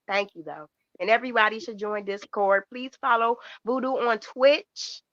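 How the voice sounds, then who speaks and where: A woman's voice: on the high side, normal speed, a bit bright, no room sound, muffled recording. A woman in her mid-forties joining a casual livestream chat, talking in a plain, easygoing way. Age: 40s. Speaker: livestream guest.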